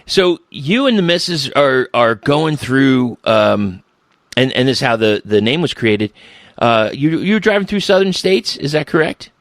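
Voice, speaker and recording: A man's voice: mid-range voice, sing-song, a little slow, a bit bright, no echo, clean studio sound. A man hosting a casual podcast interview, talking in a loose, rambling way with the odd swear word. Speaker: podcast host.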